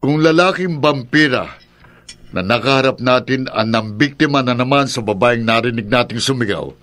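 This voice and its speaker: An actor playing a resolute village watch leader readying for a fight in a horror radio drama. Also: male voice, medium pitch, moderate pace, fairly bright tone, lightly acted. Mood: wary, resolute.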